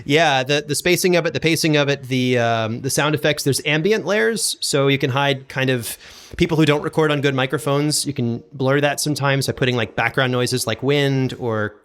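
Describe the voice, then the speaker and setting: A man with a mid-pitched voice talks casually as an interview guest. He sounds agreeable and enthusiastic, explaining his craft informally. He is recorded close on a studio condenser microphone. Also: moderate pace, fairly bright tone, clean tone. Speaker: podcast guest, audio drama producer and sound designer. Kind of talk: casual interview chat about audio production.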